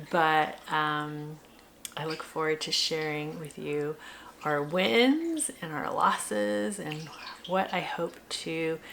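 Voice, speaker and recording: A woman's voice: on the low side, gravelly, a bit bright, slight background noise. A middle-aged woman chatting casually to viewers in a rambling, self-questioning way, like a parent vlogger. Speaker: homeschooling mother and vlogger. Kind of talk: casual chatty vlog reflection.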